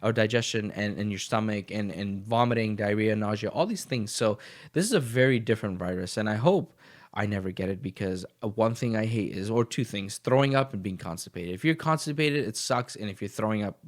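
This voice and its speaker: A man's voice: lowish voice, brisk. A man hosting his own podcast, talking casually and motivationally in a rambling way, a little sniffly as he gets over the flu. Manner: rambling and motivational, with frequent restarts. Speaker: podcast host. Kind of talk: casual solo podcast monologue.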